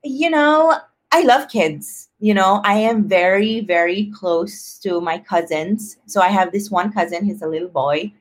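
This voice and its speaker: A woman's voice: mid-range voice, measured pace. A woman talking casually and personally as a podcast guest, expressively acting out her own thoughts as quotes.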